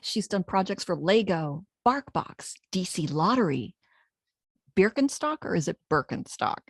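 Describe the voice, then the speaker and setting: Brief: middle-aged woman, podcast host, low-ish voice, close dry mic, relaxed chatty delivery. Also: unhurried, husky, no echo. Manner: chatty, laughs easily. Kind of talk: casual interview chat. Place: indoor room. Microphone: studio mic.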